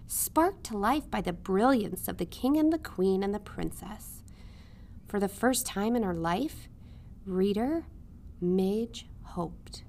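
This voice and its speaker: A woman narrating a children's story from a script as a teacher would, with expressive, dramatic phrasing. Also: a little high. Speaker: teacher reading aloud.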